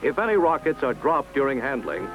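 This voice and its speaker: A man's voice: mid-range voice, sing-song, a little fast, over music. A man reading scripted 1960s military training film narration in a formal, instructional way. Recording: dull vintage film soundtrack.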